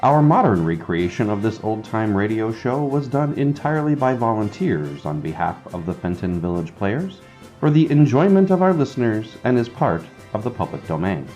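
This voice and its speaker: Animated, low-voiced man announcing old-time radio. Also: normal speed, soft background music. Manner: formal, declamatory. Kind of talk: scripted 1930s-style radio announcing.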